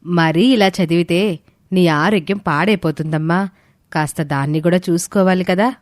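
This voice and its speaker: A woman voices a kindly female hostel warden in an audio story. The voice is slightly low and dry, with a motherly, encouraging manner. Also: normal speed, no echo, close to an everyday voice. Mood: concerned, admiring. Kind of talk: scripted audio story dialogue.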